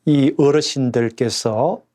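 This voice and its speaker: A man's voice: mid-range voice, slow pace, warm tone. A middle-aged man, a pastor, speaking earnestly and with fervor in a radio interview, with a regional dialect he mentions himself.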